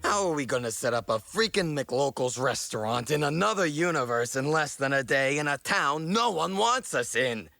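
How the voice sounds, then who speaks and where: A man's voice: a little high, animated, moderate pace, gravelly, hardly any pauses, pushed and bright, no echo, soft background music, heavily acted. A man playing a grumbling, sardonic, always hungry adventurer sidekick in a comedy audio drama.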